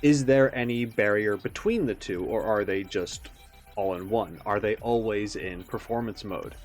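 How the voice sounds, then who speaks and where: A man hosting a reaction video with casual commentary, stressing words theatrically and pausing for emphasis. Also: fairly low pitch, soft background music.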